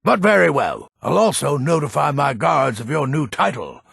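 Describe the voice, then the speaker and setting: An actor voicing a grumpy, haughty old mage and noble in a fantasy video game, gruff and impatient, with a raspy, gravelly voice. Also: male voice, a little high, very animated, moderate pace, a bit bright, dry recording, studio quality, heavily acted. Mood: stately, haughty. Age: older. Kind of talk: video game character dialogue.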